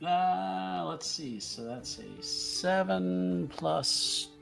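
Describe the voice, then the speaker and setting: A man's voice: sits high, expressive, extremely slow, creaky, a bit bright, soft background music. A man playing a tabletop roleplaying game, chatting casually about what his character will do.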